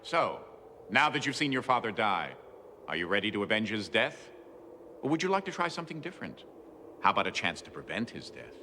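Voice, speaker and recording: An actor playing a mischievous, theatrical trickster figure who mocks and laughs in a game movie. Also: male voice, very animated, fairly quick, big-room sound, noisy background. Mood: sly persuasive. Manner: gleeful, sarcastic, laughing often, sudden swoops in pitch. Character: mischievous godlike trickster man, mocking and theatrical.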